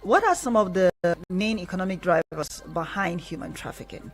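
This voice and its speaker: A woman with a Nigerian accent hosting a radio talk show in a measured, conversational way. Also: on the low side, very animated, faint music behind. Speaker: radio host. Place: radio studio.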